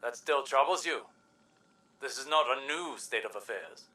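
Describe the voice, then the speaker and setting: An actor playing a calm alien adviser who questions his lord in a game cutscene. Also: medium pitch, normal speed, distant mic, low-quality recording. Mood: probing.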